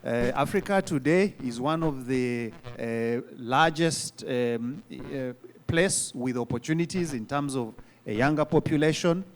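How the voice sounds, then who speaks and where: A man speaking on a conference panel, earnest and pausing on frequent fillers. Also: medium pitch. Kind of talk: panel discussion answer.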